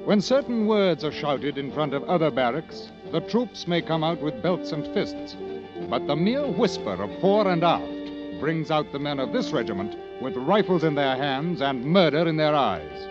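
An actor playing a wry, fond war correspondent, narrating in 1940s radio drama style. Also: male voice, fairly high pitch, sing-song, normal speed. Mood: storytelling, grave. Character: British war correspondent recounting army tales, wry and fond. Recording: dull old radio broadcast with music.

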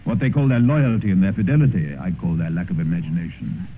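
An actor playing a worldly, cynical gentleman in an old radio drama, suave and amused, with drawling, epigrammatic delivery. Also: deep voice, lively intonation, fast. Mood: smug. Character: worldly, cynical English gentleman, older mentor and corrupter. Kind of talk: 1950s radio drama acting and first-person narration. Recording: muffled, hissy old radio broadcast.

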